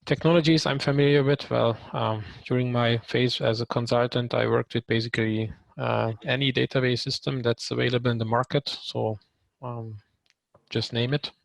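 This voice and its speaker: Gravelly-voiced man from Hungary, hesitant. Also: medium pitch, fairly even intonation, no echo, rough recording. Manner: hesitant, many ums and pauses. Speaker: trainee introducing himself. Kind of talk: casual self-introduction on a video call.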